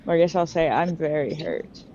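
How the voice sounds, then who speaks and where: Lively woman chatting at a tabletop game. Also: deep voice, moderate pace. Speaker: player. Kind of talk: casual tabletop game chat. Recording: slightly muffled, faint background noise.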